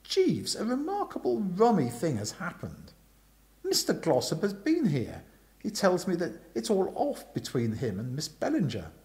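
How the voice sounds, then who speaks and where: A man voicing an excitable, dramatic young upper-class gentleman with exclamatory old-fashioned slang. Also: sits high, measured pace, voice pushed up, heavily acted. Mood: astonished.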